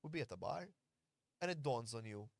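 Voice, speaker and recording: A man's voice: mid-range voice, expressive, a little fast, gravelly, no room sound. Blunt man in a dry room. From Malta. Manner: blunt, earnest, explains at length, swears freely. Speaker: podcast host and fight coach. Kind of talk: casual podcast conversation.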